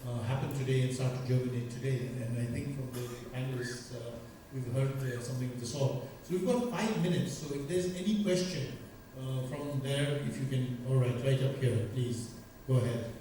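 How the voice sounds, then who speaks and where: A halting man moderating a panel in an echoey hall. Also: mid-range voice, mellow, a little hiss or hum. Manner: halting, many fillers and restarts. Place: large conference hall. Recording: echoey conference hall from far off.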